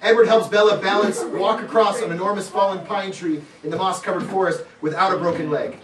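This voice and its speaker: A man's voice: sits high, fairly even intonation, fairly quick, background noise. A man narrating stage directions in a comic dramatic script reading. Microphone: camera microphone from a distance. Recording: echoey room, distant mic, crowd chatter.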